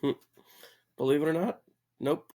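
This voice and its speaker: A man's voice: fairly high pitch, normal speed, warm tone, lightly acted. A man acting an impatient, sardonic theatergoer in an audio drama, grumbling and sarcastic.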